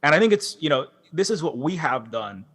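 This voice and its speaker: A man speaking on a conference panel as a game developer, impassioned and halting, with many restarts and fillers. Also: on the high side, sing-song, fairly bright tone, husky. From Hawaii. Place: large concert hall.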